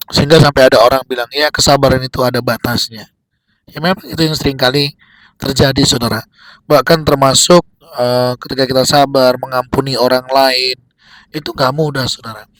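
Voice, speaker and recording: A man giving a devotional sermon, exhorting in short, repeated phrases with pauses between them. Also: medium pitch, a bit bright, no room sound, rough recording. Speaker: preacher giving a daily devotional.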